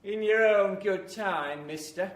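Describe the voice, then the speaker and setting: An actor playing a grumpy, sarcastic British woman lost in dark woods in a classic horror radio play. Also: medium pitch, slow pace, lightly acted. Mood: impatient. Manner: grumbling, dryly sarcastic, exclamatory. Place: theatre venue in front of an audience. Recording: roomy, noisy live stage recording.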